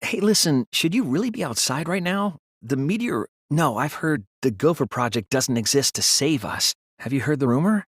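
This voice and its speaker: A man voicing an earnest, anxious, thoughtful teenage student detective in a video game, with a hesitant, soft, slightly husky delivery. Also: a little high, lively intonation, normal speed, hardly any pauses, dry recording, clean studio sound. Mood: serious. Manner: hesitant, stammering when flustered, earnest. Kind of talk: English video game character voice acting.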